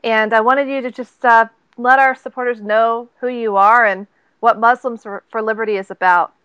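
A woman's voice: on the high side, fairly even intonation, normal speed, a bit bright, smooth, no echo, dull audio. A woman hosting an interview show, talking in a chatty way with frequent restarts. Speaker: host.

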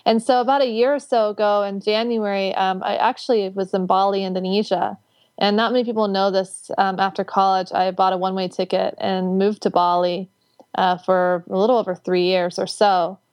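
A woman's voice: mid-range voice, flat delivery, moderate pace, darkish tone, dry recording. A woman hosting an interview, talking in an effusive, rambling way with plenty of fillers and restarts. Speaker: host. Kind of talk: casual interview chat.

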